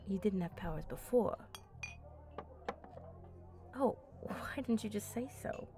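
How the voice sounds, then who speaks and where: A woman voicing a flirty, teasing female vampire in an ASMR roleplay, with a breathy voice that often drops to a whisper. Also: medium pitch, lively intonation, over music. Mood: amused probing. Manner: slow, teasing, coaxing.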